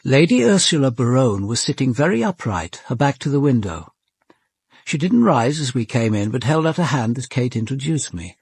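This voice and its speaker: English detective narrator, observant, reserved, measured, gravelly, varied intonation, radio drama. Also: male voice, medium pitch, big pitch swings, a little mellow, no echo. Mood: reflective, grave. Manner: measured, precise, reflective. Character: observant, reserved male police commander narrating his case. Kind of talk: first-person dramatic narration.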